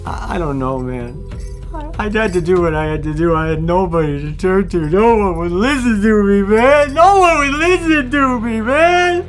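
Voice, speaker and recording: Man acting frantic, high-pitched stammering killer. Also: moderate pace, faint music behind, lightly acted. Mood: desperate, tearful. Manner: stammering, pleading, repetitive. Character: frantic drug-addled killer pleading that a demon made him. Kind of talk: audio horror drama acting.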